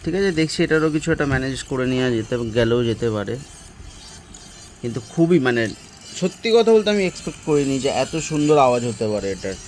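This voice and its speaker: A man's voice: medium pitch, expressive, fast talker. A man, chatting casually and thinking aloud in short, informal remarks like a vlogger reviewing a product. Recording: clearly noisy, ambient and vehicle sound.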